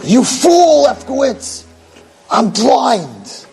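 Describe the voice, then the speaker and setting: A man voicing a miserable, angry, blind old man in a nursing home, grumbling and shouting complaints in a spoken story. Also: extremely high pitch, wildly swooping pitch, extremely slow, vocal fry, soft background music, voice pushed up, exaggerated voice. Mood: bitter.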